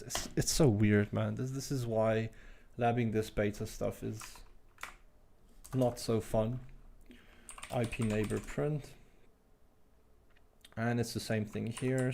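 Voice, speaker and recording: A man hosting a live tech stream, thinking aloud as he types commands, his voice croaky today. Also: fairly low pitch, darkish tone, a little hiss or hum. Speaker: livestream host. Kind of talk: casual live tech walkthrough.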